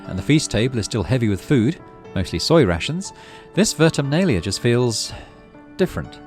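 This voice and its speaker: A man's voice: mid-range voice, a little mellow. A man hosting a gaming video, reading story text expressively and adding his own casual commentary.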